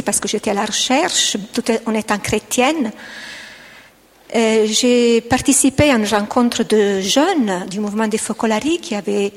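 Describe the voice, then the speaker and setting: Middle-aged woman, Italian accent, gentle halting testimony, reverberant hall. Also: fairly high pitch. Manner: gentle, hesitant, with frequent restarts. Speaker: speaker giving testimony. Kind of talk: personal faith testimony to an audience.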